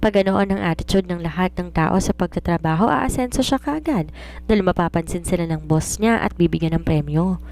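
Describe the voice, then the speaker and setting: Woman doing a confident writer lady in an audiobook, lowish voice, preachy n earnest advice. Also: brisk, a little mellow, close to an everyday voice. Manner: earnest, instructive, self-assured. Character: confident, preachy woman romance writer advising her maid. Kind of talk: audiobook character dialogue.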